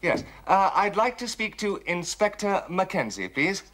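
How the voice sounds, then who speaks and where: A lively, suave English gentleman thief. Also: high-pitched, unhurried, full character voice. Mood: polite, hesitant. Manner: breezy, teasing, clipped politeness. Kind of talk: period television drama dialogue.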